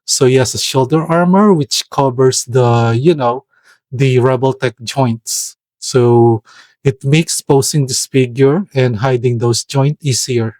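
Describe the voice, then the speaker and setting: A man from the Philippines, giving a product review voice-over in a careful, hesitant way, often pausing to search for words. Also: mid-range voice, a little mellow, dry recording. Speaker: toy reviewer.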